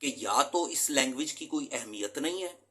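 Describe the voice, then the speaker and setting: Bright, emphatic male English teacher. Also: mid-range voice, expressive, moderate pace. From Pakistan. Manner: earnest, emphatic, mixes in English terms. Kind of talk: motivational lecture to students.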